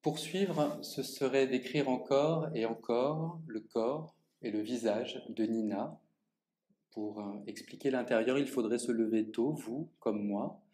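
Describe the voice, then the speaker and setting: A man's voice: mid-range voice, dark tone. Man giving a theatrical reading in an echoey gallery. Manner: measured, theatrical delivery addressing the audience directly. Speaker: author reading his own text. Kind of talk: public literary reading, first-person monologue. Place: art gallery.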